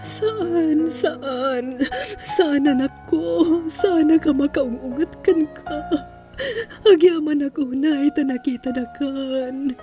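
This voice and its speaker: Woman acting a distraught, high-voiced pleading mother. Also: dark tone. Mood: remorseful, relieved. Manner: tearful, pleading, emotionally drawn-out. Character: remorseful, distraught mother pleading for her child. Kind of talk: melodramatic audio drama acting. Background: music.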